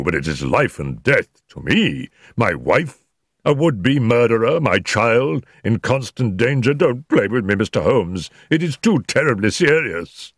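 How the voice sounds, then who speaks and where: A man's voice: fairly low pitch, slightly rough, no echo, clean studio sound, voice pushed up, exaggerated voice. A man voicing an anguished, desperate husband who pleads emotionally.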